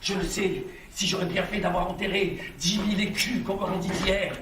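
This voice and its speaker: An actor playing a miserly, suspicious old man on stage, shrill and blustering. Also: flat delivery, moderate pace, background noise, close to an everyday voice. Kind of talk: stage theatre acting. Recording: echoey stage, from far off, loud music.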